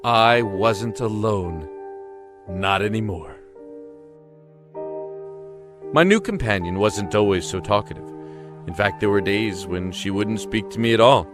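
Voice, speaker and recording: An actor playing a lonely, emotional young man in a prison cell in an audio drama, talkative and halting. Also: fairly low pitch, lively intonation, close to an everyday voice. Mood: reflective narration. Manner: halting, rambling, effusive. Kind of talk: audio drama acting with first-person narration. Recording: echoey room with music behind.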